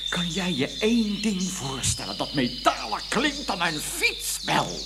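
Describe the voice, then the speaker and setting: An actor playing an obsessed, exasperated canary breeder in a Dutch comic radio play, agitated and stammering, swinging between muttering and shouting. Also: male voice, high voice, sing-song, unhurried, exaggerated voice. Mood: earnest, musing. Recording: noisy early 1980s radio play recording.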